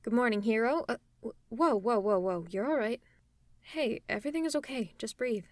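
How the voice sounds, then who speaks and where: A tough female supervillain with a soft spot, acted by a woman in an ASMR roleplay. Her voice is fairly high and recorded close and dry. She talks in a conversational way, reassuring with a gently teasing edge. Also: lively intonation, moderate pace, no room sound, natural voice. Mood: calming, teasing. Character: tough, caring female supervillain tending an injured hero. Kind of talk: ASMR roleplay audio drama.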